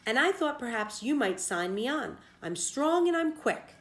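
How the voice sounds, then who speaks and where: A tiny, proud mouse sailor from a storybook, as a woman reader puts him on. He is adventurous and spirited, speaking crisply and emphatically, pitched a bit above plain narration. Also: big pitch swings, normal speed, fairly bright tone, roomy sound. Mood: eager, crisp.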